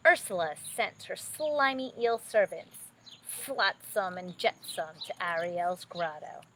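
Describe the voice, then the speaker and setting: A woman narrating a children's bedtime story expressively, with dramatic, drawn-out emphasis. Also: on the low side, lively intonation, fairly bright tone, a little hiss or hum.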